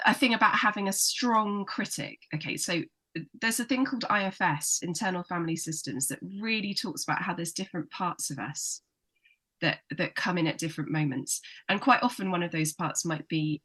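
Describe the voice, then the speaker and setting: A woman psychotherapist, a guest on a podcast, explaining things warmly and sometimes acting out her inner critic. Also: fairly low pitch. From United Kingdom. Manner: warm, explanatory, acts out inner voices. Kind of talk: casual chat, explaining.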